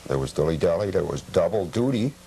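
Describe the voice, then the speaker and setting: Very deep-voiced man explaining in an interview. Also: lively intonation, fairly quick, gravelly, dark tone, slight background noise, rough recording. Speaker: collector being interviewed. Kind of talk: informal explanatory interview talk.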